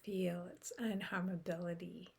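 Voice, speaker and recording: A woman guiding a meditation in a soft, unhurried way with long pauses, her breathy voice often trailing off into a whisper. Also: on the low side, level tone. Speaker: meditation guide. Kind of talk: guided meditation.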